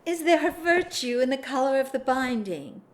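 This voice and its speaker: A woman acting a proper, hesitant aristocratic lady in an audio drama, genteel, formal and gently reproving. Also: sits high, expressive, normal speed. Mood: hesitant, polite.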